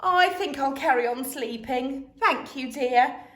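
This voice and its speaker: A woman's voice: high voice, takes it slowly, fairly bright tone, roomy sound, voice pushed up. A woman reading a storybook and voicing a tired, polite mother. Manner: weary, polite. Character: tired mother needing rest.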